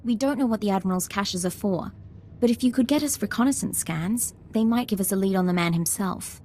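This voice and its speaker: An actress playing a brisk, composed young female military ensign with dry wit in a video game. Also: mid-range voice, darkish tone, a little hiss or hum, lightly acted. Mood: businesslike.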